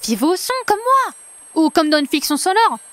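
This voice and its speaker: A woman's voice: very high-pitched, big pitch swings, moderate pace, a bit bright. A woman acting in an amateur audio drama, playing a blunt, sweary character. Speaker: character named Anya.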